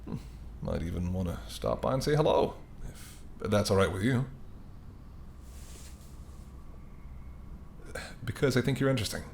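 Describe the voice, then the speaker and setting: A man's voice: on the low side, animated, fairly quick, creaky, a little hiss or hum. A man playing an apologetic, wry and courteous male mafia boss in an audio roleplay, speaking conversationally and sardonically straight to the listener. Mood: apologetic, charming. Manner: conversational, sardonic, exasperated, softening into polite charm.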